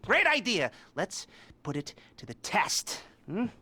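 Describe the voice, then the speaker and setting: A man's voice: sits high, huge pitch swings, sharp tone, slightly rough, exaggerated voice. Twitchy, sardonic criminal mastermind. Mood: sardonic, smug. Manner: halting, rambling, nervous chuckles, rhetorical hms. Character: nerdy, twitchy, sardonic male heist mastermind briefing crew. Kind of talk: scripted video game cutscene acting.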